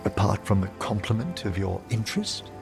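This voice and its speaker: A posh-mannered older scholar gent in a game, formal and tender, really expressive pitch, faint music. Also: male voice, moderate pace, creaky. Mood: tender, romantic. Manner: genteel, formal, precise wording. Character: courtly, fastidious older gentleman scholar, tender and verbose. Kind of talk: video game cutscene acting.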